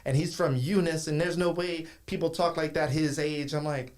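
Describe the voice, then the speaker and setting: Podcast host guy, kinda high voice, rambles and restarts a lot. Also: steady tone, a little mellow. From South Louisiana. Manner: rambling, halting talk with restarts. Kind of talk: casual podcast chat.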